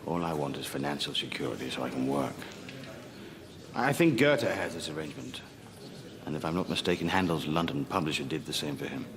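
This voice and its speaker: Gravelly, deep-voiced proud young composer. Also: male voice, lively intonation, brisk, crowd noise. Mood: aggrieved. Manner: blunt, stubborn. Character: proud, brooding young male composer, stubborn and defiant. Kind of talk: television period drama acting.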